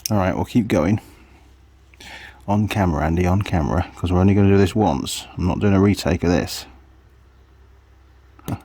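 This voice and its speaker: Husky, low-voiced man muttering through a repair. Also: normal speed, long pauses, mellow. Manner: muttering to himself and viewers while working. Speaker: vlogger, hobby mechanic. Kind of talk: casual hands-on workshop commentary.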